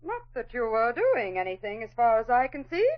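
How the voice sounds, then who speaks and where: A woman's voice: on the high side, sing-song, a little fast. A woman playing an overbearing older society lady who scolds imperiously, in a muffled 1940s radio drama. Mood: indignant, scolding.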